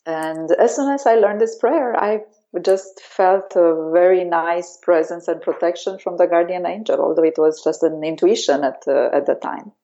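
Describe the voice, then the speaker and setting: A woman's voice: on the low side, moderate pace, dark tone, highs cut off. A woman from Romania with a Romanian accent, a yoga teacher speaking in an interview, hesitant with many fillers. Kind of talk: remote interview.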